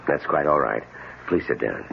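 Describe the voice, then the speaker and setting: An actor playing a grave, courteous doctor in an old-time radio drama, speaking in a formal, measured, authoritative way. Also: male voice, a bass voice, gravelly, exaggerated voice. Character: grave, courteous male doctor explaining a patient's cure. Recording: tinny, hissy old radio recording.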